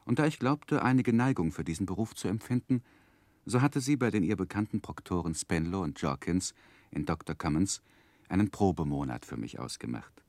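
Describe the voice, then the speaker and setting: An actor playing an earnest, romantic gentleman narrating his own youth in measured, literary for a 1960s radio drama. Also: low voice, expressive, no echo. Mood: reflective, composed. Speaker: narrator and main character. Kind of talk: dramatic first-person narration, 1960s German radio play.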